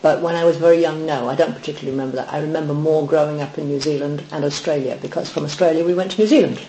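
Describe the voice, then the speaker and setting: A woman's voice: low-pitched, steady tone, racing, warm tone. A middle-aged woman from Wales, a guest on a casual podcast, recalling her life reflectively with frequent ums and restarts. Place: small podcast studio. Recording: echoey small room, faint hiss.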